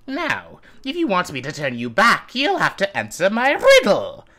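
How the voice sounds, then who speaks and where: A man's voice: high-pitched, huge pitch swings, normal speed, pushed and bright, full character voice. A man voicing a mischievous, scatterbrained cartoon wizard in a theatrical, gleeful manner. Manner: theatrical, swooping, cackling.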